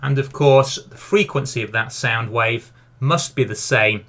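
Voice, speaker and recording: A man's voice: medium pitch, unhurried, a bit bright. A man narrating a science lesson as a teacher, explaining a demonstration step by step in a didactic way. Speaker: physics teacher.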